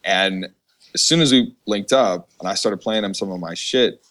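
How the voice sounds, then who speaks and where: A man with a deep, low-pitched voice talks in a casual podcast style. His speech is slangy and dotted with swearing. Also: moderate pace, fairly bright tone. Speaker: podcast guest, music producer. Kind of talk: casual chat.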